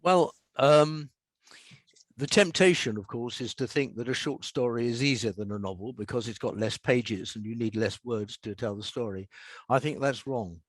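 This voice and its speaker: An older man, a writer, speaking in a measured, explanatory and reflective way as a podcast guest. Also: medium pitch, dry recording. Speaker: guest author and scriptwriter. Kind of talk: reflective interview talk, with a short reading from own prose.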